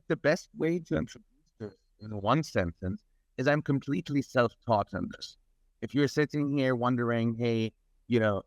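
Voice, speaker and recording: A man's voice: mid-range voice, no echo. A middle-aged man from Germany, speaking as an interview guest in an earnest, expansive way, often repeating words as he builds his sentences. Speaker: interview guest, technology advisor. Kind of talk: conversational interview. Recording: dull lo-fi recording.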